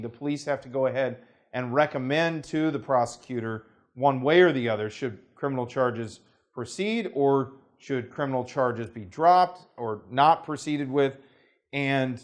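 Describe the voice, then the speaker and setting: An American man, an attorney, explaining a legal process in a formal, measured way, with careful legal phrasing, hedges and the odd restart. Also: medium pitch. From United States. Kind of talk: unscripted talking-head explanation.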